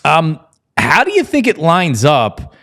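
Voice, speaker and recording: A man's voice: on the high side, huge pitch swings, slow pace, a bit bright. A man hosting a casual sports talk interview, talking in wordy, rambling questions with false starts. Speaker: sports show host.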